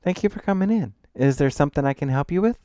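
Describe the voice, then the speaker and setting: Guy doing a young shopkeeper lady, pitched up, polite and curious, storybook style. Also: expressive, quick pace, warm tone, smooth, dry recording, clean studio sound, lightly acted. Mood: polite, eager. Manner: polite, inquisitive.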